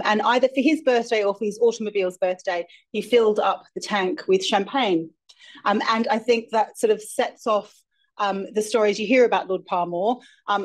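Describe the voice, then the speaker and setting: A woman opens a live lecture evening in front of an audience. She speaks informally in a halting way, often stopping and restarting her sentences. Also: mid-range voice. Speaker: event host, charity chief executive. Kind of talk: introductory remarks at a lecture.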